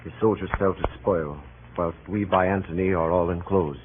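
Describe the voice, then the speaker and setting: A beleaguered Roman officer, played by a man in a radio tragedy, with a deep, declamatory voice. The 1930s broadcast is tinny and clearly noisy. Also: steady tone, moderate pace, natural voice. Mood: dismayed. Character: Roman officer lamenting a lost battle. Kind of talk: 1930s radio Shakespeare acting.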